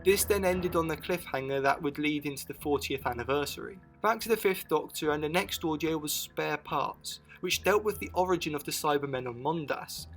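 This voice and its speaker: Higher-pitched male video essay narrator. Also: brisk, hardly any pauses, a bit bright. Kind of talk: scripted retrospective narration with personal opinions. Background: music bed.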